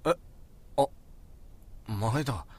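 A man playing a proud, frustrated high school boy in a voice drama, talking in blunt, casual slang. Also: drawn-out words. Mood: dejected, awkward. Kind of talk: Japanese voice drama acting.

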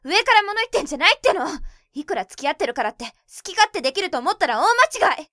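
A woman playing a blunt, prickly high school girl in a romantic comedy audio drama, brusque and scolding. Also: sing-song, very fast, fairly bright tone, dry recording, studio quality, full character voice. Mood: angry.

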